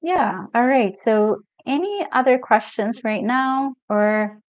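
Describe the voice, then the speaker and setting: A woman's voice: on the high side, slow, dry recording. Middle-aged woman, Ethiopian-born coach, easygoing live-stream host, lots of fillers; lo-fi, dry sound. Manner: relaxed, chatty, reads viewer comments aloud with many fillers. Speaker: mental health coach. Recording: dull lo-fi stream audio, dry.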